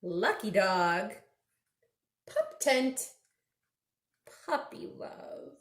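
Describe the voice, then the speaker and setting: Very animated woman reading at children's storytime. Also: medium pitch, very slow. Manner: expressive, addressing small children with prompting questions. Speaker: children's librarian reading picture books. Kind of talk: children's storytime read-aloud with questions. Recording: roomy, echoing indoor recording.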